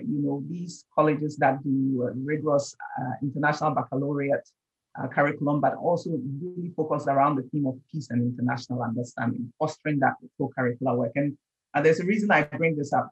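A man in his forties from Ghana, a professor being interviewed, explaining in a measured way with repeated stumbles on words. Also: medium pitch, husky. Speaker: professor, guest. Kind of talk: interview answers. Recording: dull remote call audio.

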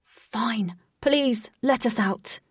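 A woman's voice: high-pitched, steady tone, a little fast, sharp tone, breathy edge, dry recording, clean studio sound, recorded from a distance, pitched above the performer's own voice, heavily acted. Woman playing a stern, proud fighting man, terse. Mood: grudging. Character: stern, proud, combative man, stubborn under pressure.

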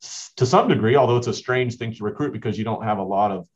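A man's voice: lowish voice, fast talker. A man, a school basketball coach, answering interview questions in a rambling way with many restarts. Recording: dull lo-fi audio.

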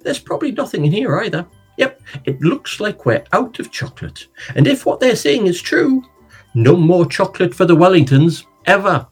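A man voicing a frazzled, fed-up mum who rants in quick bursts. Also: high voice, very animated, slightly rough, faint music behind, voice pushed up, full character voice. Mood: exasperated. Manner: exasperated, rapid rants.

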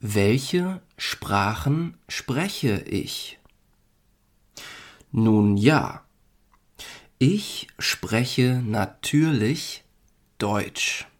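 A man from Germany hosting a podcast, reading a lesson for learners in slow, deliberate, clearly spaced phrases. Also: mid-range voice, animated. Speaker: podcast host teaching German.

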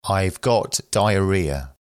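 A man reading English phrases slowly and carefully for learners, saying each one twice. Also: low voice, clear, resonant tone, no echo, clean studio sound. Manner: slow, careful, even delivery.

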